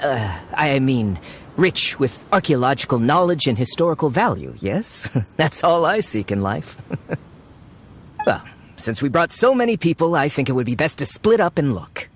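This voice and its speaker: A man's voice: fairly high pitch, very animated, normal speed, a little hiss or hum. An actor voicing a sly, greedy treasure-seeking man with theatrical delivery in a video game. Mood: sly, scheming. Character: greedy, eager treasure-seeking man feigning scholarly interest.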